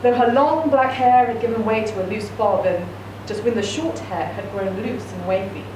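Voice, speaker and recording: A woman's voice: mid-range voice, normal speed. A woman reading a short story aloud at a live reading event, narrating steadily. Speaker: reader. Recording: echoey room, distant mic, noisy.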